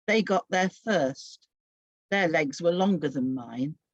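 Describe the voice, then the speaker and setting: Female narrator for scripted Bible drama, unhurried, solemn yet expressive, dry and slightly muffled recording. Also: deep voice, no room sound. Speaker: storyteller.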